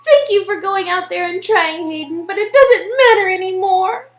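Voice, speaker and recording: A woman's voice: very high voice, moderate pace, echoey room, faint music behind, pitched above the performer's own voice. A woman voicing a heartbroken, dramatic and clingy young woman in a dialogue performance of an interactive game. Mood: sad, wistful.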